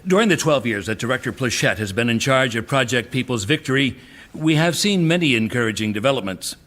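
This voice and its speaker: A man acting in an audio drama as a businesslike, assured chairman of a secretive project, speaking in a formal, measured way. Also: medium pitch, expressive, fairly bright tone, natural voice. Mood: courteous, brisk. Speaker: meeting chairman.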